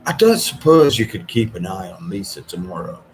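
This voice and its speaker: An evasive, awkward father from an audiobook , acted by a male reader. His voice sits low and he answers briefly and hesitantly, keen to get away. Faint noise is audible behind him. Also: big pitch swings, normal speed. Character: awkward, evasive young father, reluctant to chat. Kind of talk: audiobook character voice.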